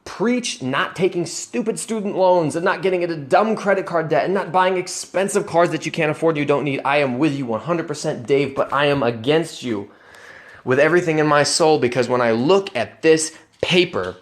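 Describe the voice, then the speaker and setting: A man's voice: a little high, moderate pace. A man in his twenties vlogging earnestly about personal finance over slides, conversational with restarts and rising emphatic bursts of conviction. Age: 20s. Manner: conversational with restarts and self-corrections.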